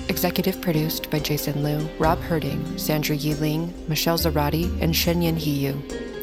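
A woman announcer reads scripted credits in a low register. Her delivery is measured and orderly. Music plays clearly underneath. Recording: music behind the narration.

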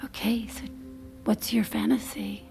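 An actress playing a gentle woman who records intimate confessions in an audio drama, soft-spoken, careful and reassuring. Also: a little high, little pitch movement, measured pace, vocal fry, whispered, soft background music. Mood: calm, encouraging. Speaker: interviewer.

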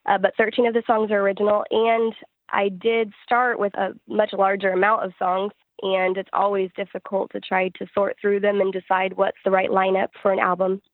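A woman's voice: medium pitch, little pitch movement, moderate pace, no echo, narrow, tinny audio. A woman singer-songwriter answering interview questions in an earnest, reflective, conversational way.

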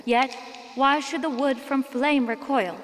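A woman playing a composed village preacher and wise woman in a fantasy audio drama, declamatory and gently commanding. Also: high-pitched, fairly even intonation, a little slow, big-room sound, slight background noise. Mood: solemn, preaching.

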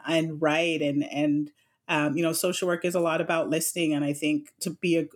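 A woman in her thirties from Jamaica, a sommelier guest talking in a chatty, animated way with lots of "you know" fillers. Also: low-pitched. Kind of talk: casual interview chat.

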